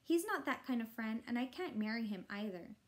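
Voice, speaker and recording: Woman acting a pestering, high-voiced little boy. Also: animated, brisk, close to an everyday voice. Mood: matter-of-fact. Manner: insistent questioning, often yelling.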